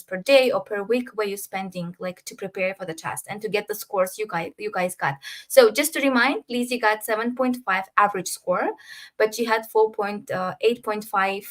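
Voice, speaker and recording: A woman's voice: mid-range voice, fairly bright tone. A woman moderating an online panel, asking long, rambling questions with many fillers.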